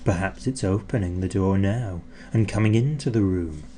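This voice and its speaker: A man narrating a children's story in an expressive, theatrical way, drawing out words for effect. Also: deep voice, normal speed, a little hiss or hum. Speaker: audiobook narrator.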